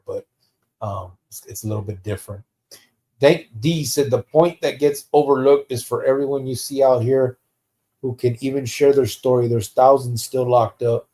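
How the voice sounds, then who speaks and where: A man's voice: medium pitch, a little mellow. Male live podcast host from the United States, streetwise and blunt, casual and profane, with slang mixed in. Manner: slips Spanish slang into English. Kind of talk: live stream casual chat, reading out viewer comments.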